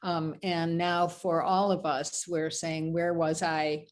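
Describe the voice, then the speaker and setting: A woman's voice: sits low, level tone, slow pace. An older American woman, a rabbi, leading an informal Torah study on a video call, talking in a loose, meandering way with many ums and asides. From United States.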